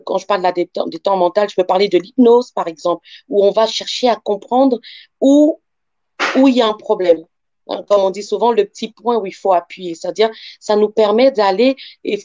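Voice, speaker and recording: A woman's voice: mid-range voice, dark tone, no room sound, highs cut off. A woman from France with African roots, speaking as a guest sophrologist in an interview and explaining step by step with examples and rephrasing. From born African, grew up in France. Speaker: guest, sophrologist and life coach.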